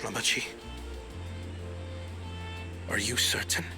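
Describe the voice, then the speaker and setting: A man playing a solemn, scholarly young prince in a video game cutscene, humbled and dutiful, with formal, measured oratory. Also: lowish voice, steady tone, music in the background. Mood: hesitant.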